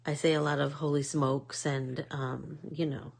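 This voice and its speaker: Slow, gravelly Midwestern woman chatting. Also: sits low, little pitch movement. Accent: American Midwest. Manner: chatty, rambling, many fillers. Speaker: art class host. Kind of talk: casual chat while painting.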